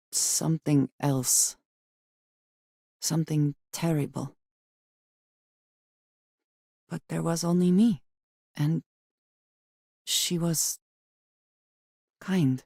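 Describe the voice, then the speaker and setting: An actress playing a guarded, serious woman space smuggler in a video game, speaking in measured, formal sentences with dry reserve. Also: low-pitched, warm tone, no echo. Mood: subdued, reflective. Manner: measured, formal speech with few contractions. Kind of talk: video game companion dialogue.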